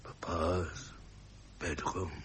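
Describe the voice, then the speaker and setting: Slow, blustering English royal, noisy recording. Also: male voice, deep voice, little pitch movement, fairly bright tone, exaggerated voice. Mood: hushed, brooding. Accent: upper-class English. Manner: blustering, imperious, muttering in clipped fragments. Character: gruff, impatient ageing royal heir turned king. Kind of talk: 1970s British radio drama acting. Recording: noisy vintage radio recording.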